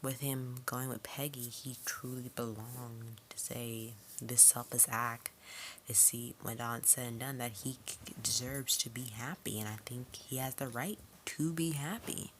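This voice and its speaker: Woman, extremely deep voice, informal rambling reviewer, homemade vlog sound with faint-to-clear background noise. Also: steady tone, a little slow, fairly bright tone, low-quality recording. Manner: informal, digressive, conversational. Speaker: video reviewer.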